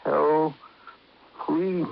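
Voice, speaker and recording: A dying old man, dragging out each word in a creaky voice. He is weak and halting, repeating himself as he confesses. It is vintage radio drama with a muffled, noisy sound. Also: fairly high pitch, fairly even intonation, heavily acted. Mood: desperate, insistent. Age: older. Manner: halting, fragmented, repeating words. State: weak, laboured, failing. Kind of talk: 1950s radio drama acting.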